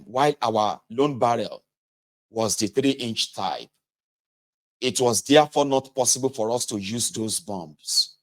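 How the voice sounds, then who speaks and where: A man reading a memoir aloud in a measured, deliberate way, pausing between short phrases. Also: medium pitch. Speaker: reader of a memoir. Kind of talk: audiobook-style reading aloud of a war memoir.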